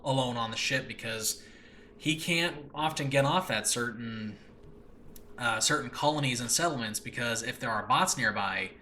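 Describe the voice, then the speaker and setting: A man speaks casually and enthusiastically as the host of his own show. His voice is bright and forceful at an ordinary male pitch, and he rambles, often pausing to find the right word. The recording is a makeshift home one with faint noise behind him. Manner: rambling, hesitant, often searching for words. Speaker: podcast host and audio drama creator. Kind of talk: casual solo talk previewing own show.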